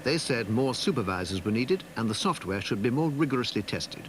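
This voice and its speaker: A man's voice: medium pitch, expressive, fairly quick. A man reading scripted narration as the narrator of a documentary. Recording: noisy dated TV documentary soundtrack.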